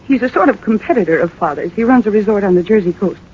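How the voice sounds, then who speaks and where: A woman's voice: mid-range voice, lively intonation, quick pace, darkish tone, lightly acted. An actress playing an earnest, polite young woman, an owner's daughter, in a 1940s radio drama. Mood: earnest, anxious. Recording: muffled 1940s radio recording, faint noise.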